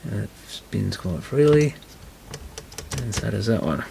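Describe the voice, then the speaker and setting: A man's voice: on the low side, lively intonation, a little slow, vocal fry, noisy background. A man giving casual hands-on repair commentary, muttering to himself as he works. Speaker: repair video presenter.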